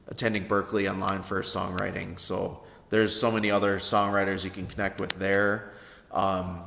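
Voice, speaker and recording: A man in his thirties with a baritone voice, answering interview questions in a hesitant way with many fillers. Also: vocal fry, a bit bright. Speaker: interview guest, veteran songwriter. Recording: echoey room with long reverb.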